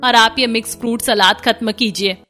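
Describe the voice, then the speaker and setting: A woman's voice: fairly high pitch, fairly even intonation, normal speed, sharp tone, faint music behind. A woman voicing a caring, resilient young daughter-in-law in a cartoon story. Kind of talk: Hindi cartoon story dialogue.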